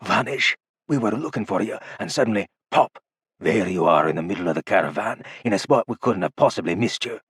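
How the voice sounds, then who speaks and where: A man's voice: on the low side, expressive, fairly quick, fairly bright tone, breathy edge, no echo, clean studio sound, exaggerated voice. A man voicing a huge, stern male half-dwarf guard who speaks bluntly and tersely. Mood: gruff.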